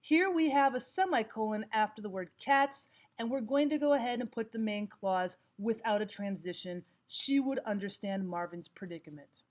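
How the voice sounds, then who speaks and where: A woman teacher giving scripted instructional narration, explaining and reading examples out deliberately. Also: fairly high pitch, animated. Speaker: language arts teacher.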